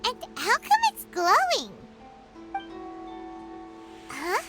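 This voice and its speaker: A tiny, inquisitive fairy girl from a video game, squeaky and childlike. Her melody swings widely while the pace stays unhurried. She sounds curious and excitable. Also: full character voice. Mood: puzzled. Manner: inquisitive, refers to self in third person. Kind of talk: video game character acting. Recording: loud game music behind. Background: game music.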